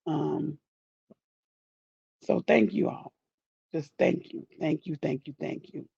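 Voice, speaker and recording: A man with a dark, husky, deeply gravelly voice that he calls groggy, heavy with grief. He talks slowly and softly to his viewers, rambling and heartfelt. The recording sounds dull and dry, with a lo-fi edge. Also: no echo. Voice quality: groggy, deep. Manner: soft-spoken, rambling, heartfelt. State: grieving. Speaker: YouTuber thanking viewers. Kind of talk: casual direct-to-camera chat.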